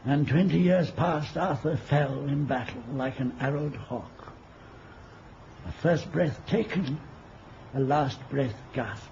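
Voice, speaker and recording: An ancient, high-voiced wistful wizard. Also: male voice, slow pace, gravelly. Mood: wistful, grand. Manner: poetic, grandly archaic, chuckling speech. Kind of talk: radio play acting. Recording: dull, noisy old radio recording.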